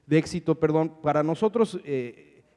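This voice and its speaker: A man with a Mexican accent moderating a conference panel in a semi-formal, hesitant and halting way, often repeating his words. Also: a little high, expressive, a little mellow. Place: conference hall. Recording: reverberant conference hall sound.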